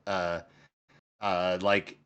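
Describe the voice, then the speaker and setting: A man appearing as a guest game designer on a livestream, chatting in an animated, rambling way with many fillers while his voice recovers from a long loss. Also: low voice, drawn-out words, smooth. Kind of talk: casual livestream chat.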